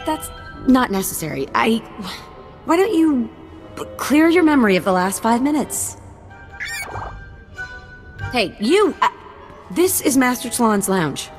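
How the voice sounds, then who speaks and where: Harried servant, reverberant sound. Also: female voice, fairly high pitch, sing-song, slow, long pauses, full character voice. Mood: exasperated. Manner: informal, casual. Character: harried but loyal young female servant-mechanic. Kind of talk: video game voice acting. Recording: reverberant, faint music behind.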